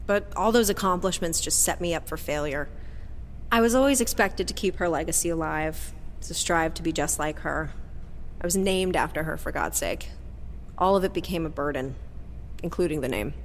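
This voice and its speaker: An actress playing a defiant, guarded former agent weighed down by family legacy, earnest and bitter, in an audio drama. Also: female voice, low voice, moderate pace, a little hiss or hum. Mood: bitter, burdened. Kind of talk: audio drama acting with first-person narration. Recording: long hall reverb, clear background ambience.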